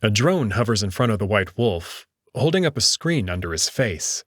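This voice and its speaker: A man's voice: fairly low pitch, very animated, normal speed, no echo, clean studio sound. A man narrating a first-person story in a dramatic reading style.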